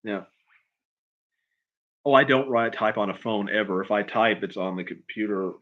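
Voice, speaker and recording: A man with a fairly low voice talks off the cuff on a livestream Q&A. He speaks haltingly, circling back and restarting phrases as he rambles through his answers. The audio is somewhat dull and lo-fi. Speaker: writer hosting a livestream Q&A. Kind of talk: casual unscripted chat, answering viewer questions.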